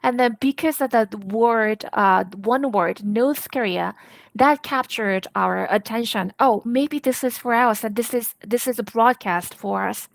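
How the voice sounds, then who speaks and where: A woman interpreting a personal testimony live, speaking haltingly and building sentences from short phrases. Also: a little high, creaky, no echo.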